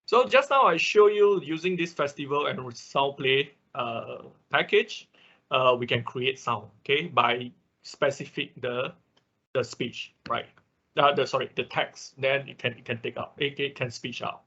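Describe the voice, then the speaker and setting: Man, non-native English speaker, online tech instructor, forceful husky voice, explanatory, repeats himself, dull lo-fi audio. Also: mid-range voice. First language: not English. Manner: explanatory, often checking in with listeners, repeating himself. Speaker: instructor of an online robotics class. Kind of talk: informal online technical lesson.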